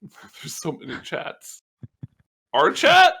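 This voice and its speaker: A man guesting on a podcast, riffing playfully in casual, joking banter with a deadpan streak. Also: extremely high pitch, takes it slowly, gravelly, husky.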